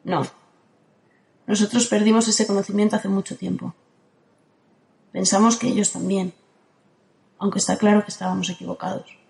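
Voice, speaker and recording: A woman from playing a calm, ageless guardian who speaks in a steady, firm way in an audio drama. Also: medium pitch, moderate pace, creaky, long pauses, natural voice. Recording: roomy echo.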